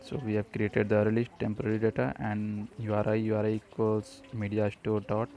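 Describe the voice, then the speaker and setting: A man presenting a step-by-step programming tutorial, speaking haltingly in short fragments. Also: on the low side, monotone, warm tone. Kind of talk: step-by-step screencast tutorial narration.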